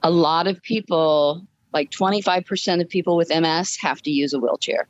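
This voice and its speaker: A woman's voice: fairly low pitch, moderate pace, highs cut off. A woman guest telling her personal story in a casual, upbeat way during a podcast interview. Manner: upbeat, chuckles as she talks.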